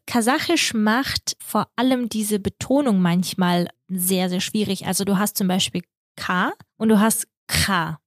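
A young woman from Kazakhstan, a native Russian speaker, calmly explaining in a podcast conversation. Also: medium pitch, a little slow, no echo, clean studio sound. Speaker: podcast co-host.

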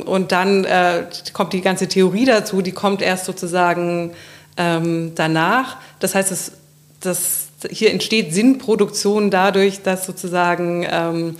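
A woman in a reflective intellectual discussion, thinking aloud and often correcting herself as she searches for the right wording. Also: medium pitch.

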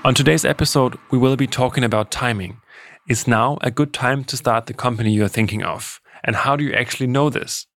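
A man hosting a podcast, reading measured introductions and posing questions. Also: mid-range voice, fairly bright tone, faint music behind. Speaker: podcast host.

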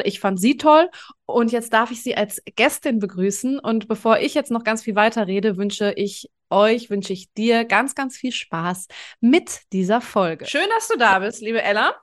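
A woman in her thirties hosting a conversational podcast interview in an informal, enthusiastic way. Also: on the high side. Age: 30s. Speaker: podcast host.

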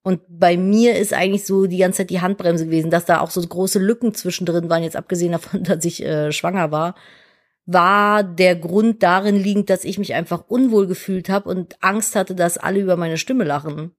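A young adult woman with a deep voice and a slight lisp, co-hosting a podcast in casual, candid chat. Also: fairly even intonation, moderate pace. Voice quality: self-described deep voice with a lisp. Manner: chatty, candid, frequent fillers like "ne?". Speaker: podcast co-host.

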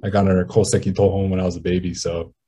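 A young man from Hawaii answers questions in a low, mellow voice. He speaks hesitantly and softly, trailing off with ums between short answers. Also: level tone, racing, slight background noise. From Hawaii, United States. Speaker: professional basketball player, caller. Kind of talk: casual call conversation.

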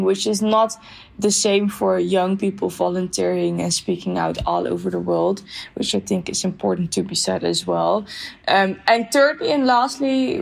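A young woman speaking in a podcast interview as a guest expert, talking in a thoughtful, measured way with frequent fillers. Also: mid-range voice, vocal fry, a little mellow. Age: young adult. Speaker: youth activism guest expert.

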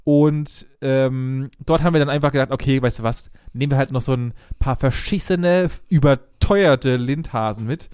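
A man's voice: mid-range voice, normal speed, few pauses, a little mellow, clean tone, dry recording. Rambling man in his thirties, dry sound. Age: 30s. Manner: rambling, laughs often, many fillers and restarts. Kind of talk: casual chat.

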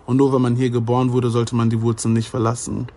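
A man's voice: mid-range voice, monotone, quick pace, mellow. An earnest young man speaks with feeling in a casual panel chat, stressing his points firmly. His voice sits in an ordinary middle range. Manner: earnest, heartfelt and emphatic. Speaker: YouTuber guest. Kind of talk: casual group discussion.

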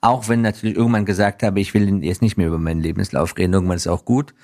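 A man from Afghanistan speaking as an interview guest, reflecting earnestly in conversational answers with halting pauses and self-corrections. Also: low-pitched, fairly even intonation, darkish tone. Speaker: interview guest, business professional.

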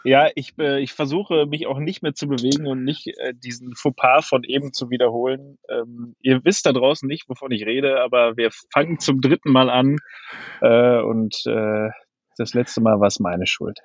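Husky co-host on a dull remote line. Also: male voice, medium pitch, steady tone, moderate pace, no room sound. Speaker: podcast co-host. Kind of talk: casual podcast chat.

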